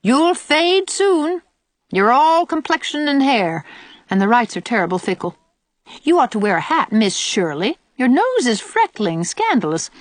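Woman acting a shrill, sour old country aunt. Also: sing-song, unhurried, dry recording. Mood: needling. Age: older. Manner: disagreeable, sarcastic, nagging. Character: sour, sharp-tongued old country aunt, complaining and sarcastic. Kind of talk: audiobook character voice.

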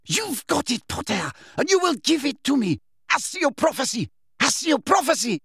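Fanatical dark witch played by a male narrator, storybook villain: high-flung shrill voice with a creaky rasp, cruel, menacing. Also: sing-song, a little slow, dry recording, studio quality, full character voice. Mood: furious, shrieking. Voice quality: shrill, raspy. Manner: theatrical, menacing.

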